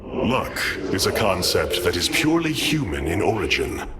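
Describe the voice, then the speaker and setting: An actor playing a noble, solemn alien warrior leader in a video game, with formal, stately and grave speech. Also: male voice, sits low, big pitch swings, normal speed, background noise. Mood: reflective. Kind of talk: dramatic game cinematic acting. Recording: loud cinematic music behind.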